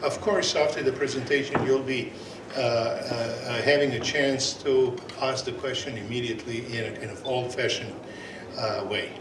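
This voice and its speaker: A man with a Serbian accent, a native Serbian speaker, chairing and opening a conference session, halting with many fillers and restarts, on a portable mic. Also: medium pitch, steady tone. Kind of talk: conference presentation. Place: conference hall. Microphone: portable microphone. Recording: echoey hall, from far off, noisy.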